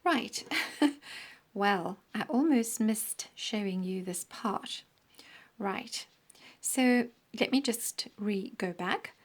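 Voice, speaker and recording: A woman teaching a crochet pattern step by step, counting stitches aloud, her voice croaky and often dropping into a breathy half-whisper. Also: medium pitch, drawn-out words. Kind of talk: step-by-step craft tutorial.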